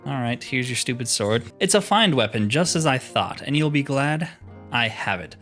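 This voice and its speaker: A self-assured fantasy adventurer, read out by a man playing a game, boastful and chatty like a seasoned relic hunter. He speaks at a middling pitch with music underneath. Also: lively intonation, normal speed, a bit bright, natural voice. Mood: brisk, confident. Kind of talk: reading fantasy game dialogue aloud.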